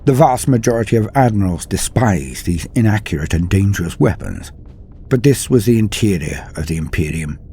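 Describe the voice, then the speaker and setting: A man reading dramatic scripted war fiction as a storyteller, in a grave, measured delivery with deliberate pauses and falling phrase endings. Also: on the low side, lively intonation, dark tone, slight background noise. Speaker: narrator of a fan-written war story. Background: music under the voice.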